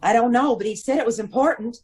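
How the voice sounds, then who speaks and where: A woman's voice: fairly high pitch, fast, heavy background noise, close to an everyday voice. A woman playing a witty, knowing detective's wife with arch banter in an old-time radio comedy-mystery. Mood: teasing.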